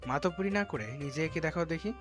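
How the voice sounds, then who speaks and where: A man's voice: a little high, quick pace, a bit bright, natural voice. A man playing a cheeky, mouthy younger cousin in an audio story. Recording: music behind the voice.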